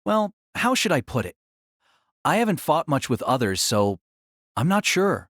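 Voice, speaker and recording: Woman doing quiet modest student guy, low and husky, kinda hesitant, audio drama. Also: big pitch swings, a little slow, no room sound, studio quality, exaggerated voice. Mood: modest, guarded. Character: reserved, modest young male transfer student.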